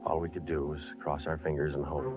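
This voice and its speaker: A man's voice: very deep voice, quick pace. An American man playing a terse, dogged police detective who narrates his case in a clipped 1950s radio drama style. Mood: matter-of-fact. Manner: deadpan, clipped, matter-of-fact. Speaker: actor and narrator. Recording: tinny old radio broadcast, music behind.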